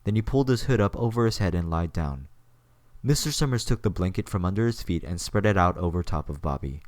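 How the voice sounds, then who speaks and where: A man's voice: deep voice, animated, a little fast, no room sound. A man reading scripted story narration as the narrator of an audio drama.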